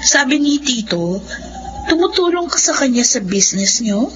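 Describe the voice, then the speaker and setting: A woman's voice: high voice, very animated, normal speed, fairly bright tone. A woman playing an effusive, girlish young cousin, openly emotional and grateful, in a Filipino radio drama. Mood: delighted. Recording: muffled audio with faint background noise.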